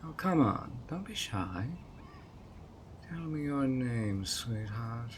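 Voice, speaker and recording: A man's voice: medium pitch, expressive, slow pace, noisy background. A man voicing a sweet but obsessive male fairy who coaxes a guest in an audio roleplay, with a honeyed, playfully sly manner. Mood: coaxing, sly. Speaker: fairy host of a tea party.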